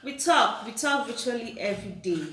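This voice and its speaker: A chatty woman from Nigeria talks with her husband, reining in a loud voice and circling back on her words. She sits a little off the mic in a roomy, echoing space with a faint hiss underneath. Her pitch sits mid-range. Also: animated, moderate pace, distant mic. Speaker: vlogger co-host. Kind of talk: casual couple chat.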